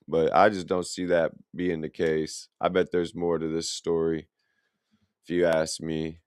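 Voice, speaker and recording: A man's voice: deep voice, clean tone, no room sound, studio quality. A young man, reacting to a video in blunt, profane, rambling casual commentary. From Illinois, USA. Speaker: reaction streamer.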